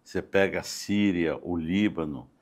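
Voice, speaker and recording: An older Brazilian man speaking as a guest in a conversational podcast interview, halting and often adding small check-in tags. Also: deep voice. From Campo Grande, Brazil. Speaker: interview guest, doctor and city councillor. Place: podcast studio.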